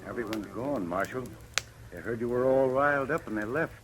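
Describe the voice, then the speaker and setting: A man's voice: medium pitch, animated, fairly quick, a bit bright, lightly acted. An American actor playing an uneasy, hesitant saloon man in a 1950s radio western. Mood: guarded. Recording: noisy old radio recording with ambience.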